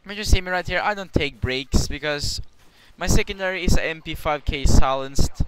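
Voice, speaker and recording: A man's voice: on the high side, normal speed, fairly bright tone, poor audio. A young man with a Norwegian accent giving casual, rambling commentary over his gameplay, swearing now and then. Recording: clear background hiss.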